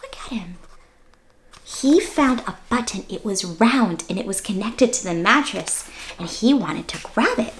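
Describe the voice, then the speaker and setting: A woman's voice: mid-range voice, lively intonation, measured pace, a little hiss or hum. A woman hosting a children's read-aloud, narrating expressively with exclamations and questions to the listener.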